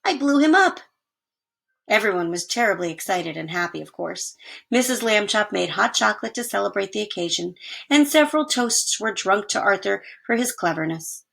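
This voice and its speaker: Creaky-voiced woman reading a storybook. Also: medium pitch, animated, fairly quick, fairly bright tone. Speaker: story reader. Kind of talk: expressive children's storybook reading.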